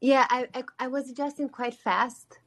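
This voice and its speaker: A woman's voice: high-pitched, steady tone, moderate pace, a bit bright. Woman, young adult, Romanian accent, chatty, earnest, guest on call-in. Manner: chatty and earnest, with frequent "like" fillers. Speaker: singer-songwriter guest. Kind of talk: casual call-in interview.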